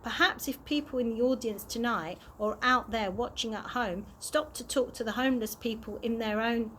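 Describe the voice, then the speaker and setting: A woman's voice: a little high, moderate pace, a bit bright, slight background noise, natural voice. A woman reading a storybook and voicing a frank, kindly homeless man, earnest and plain-spoken.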